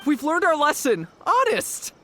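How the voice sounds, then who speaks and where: A woman playing a sheepish, excitable young gang underling in a video game, earnest and nervously defensive. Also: sits high, a little slow, very noisy, heavily acted. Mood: anxious. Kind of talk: animated video game voice acting. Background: game music.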